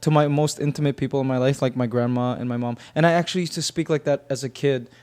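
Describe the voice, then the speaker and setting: A man's voice: medium pitch, fairly even intonation, fast, a little mellow, smooth. A man from Canada, a guest on a podcast, talking things through thoughtfully with many restarts. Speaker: guest, YouTube comedian. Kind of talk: casual podcast interview.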